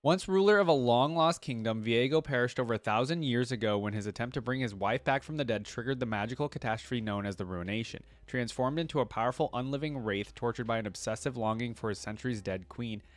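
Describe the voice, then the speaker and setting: A man's voice: mid-range voice, fast talker, few pauses, no room sound. A man hosting a reaction video in a casual style, reading lore aloud and adding conversational asides of his own. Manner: conversational asides on what he reads.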